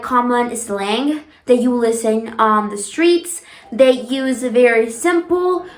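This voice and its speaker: A young woman whose native language is Spanish, talking casually as a vlogger giving tips, stressing points with drawn-out words and repetition. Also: a little high. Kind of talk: casual talk to camera. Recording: echoey room.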